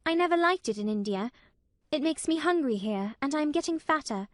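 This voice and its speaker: An earnest little girl from a storybook. The voice is high and swoops about, timid and a little stiff, with a tremulous, pleading edge. The sound is dry. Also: lively intonation, fairly quick, no echo.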